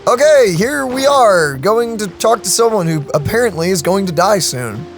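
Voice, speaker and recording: Animated, rambling gaming commentator. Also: medium pitch, moderate pace, soft background music. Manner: rambling, excitable, slangy. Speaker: gaming video host. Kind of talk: casual reaction commentary.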